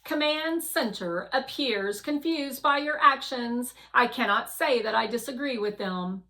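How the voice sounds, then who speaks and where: A woman's voice: a little high, unhurried, bright, forceful tone, pitched above the performer's own voice, poor audio. A woman voicing a stern, cautious machine spacecraft with a formal, officious manner in a children's storybook. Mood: disapproving.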